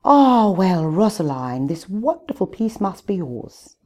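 A woman's voice: mid-range voice, sing-song, slow, a little mellow. An older woman voicing a snobbish, frosty older schoolteacher who speaks haughtily. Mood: haughty. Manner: haughty, condescending.

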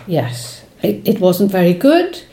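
A woman's voice: mid-range voice, big pitch swings, slow, dark tone. A woman poet whose first language is Welsh, speaking thoughtfully in an interview, with hesitations and restarts. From Wales. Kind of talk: reflective conversational interview.

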